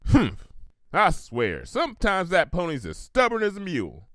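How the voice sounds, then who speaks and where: A man's voice: high voice, wildly swooping pitch, moderate pace, a bit bright, dry recording, clean studio sound, voice pushed up, full character voice. A man voicing a proud, stubborn female country pony in a cartoon story, with a folksy Southern US drawl. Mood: exasperated.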